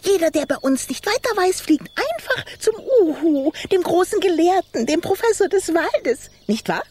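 A woman's voice: very high-pitched, sing-song, normal speed, darkish tone. A woman voicing a fussy, vain but good-natured lady coot in a children's radio play, with a clucking, bossy manner. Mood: self-important. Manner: clucking, adds tag questions, scolding and bossy.

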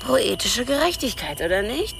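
A woman's voice: a little high, very animated, normal speed, gravelly, over music. A woman playing a tough, bossy prostitute in a German radio crime drama, commanding, sardonic and slangy. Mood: sardonic storytelling. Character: tough, bossy, street-hard female prostitute with sardonic wit.

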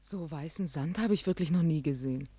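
An actress playing a curious, outspoken woman adventurer in a German science fiction radio drama, with a direct manner and slightly husky voice. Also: sits low, a little fast, warm tone. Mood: curious, puzzled. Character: curious, outspoken female adventurer with biology training.

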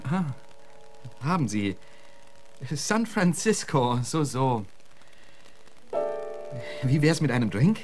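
An actor playing an urbane, witty poet in a radio play, suave and flowery with a dry humour. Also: male voice, mid-range voice, sing-song, slow pace, long pauses. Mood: polite, careful. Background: music.

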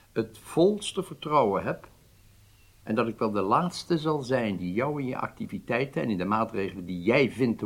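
An actor playing a senior Israeli statesman in a Dutch radio drama, deliberate and persuasive, conciliatory but firm. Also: lowish voice, breathy edge, heavily acted. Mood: measured, reluctant.

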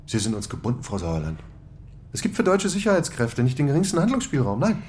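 An actor playing a cool German security official in a radio play, formal and lecturing. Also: male voice, medium pitch, wildly swooping pitch, quick pace, darkish tone. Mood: measured.

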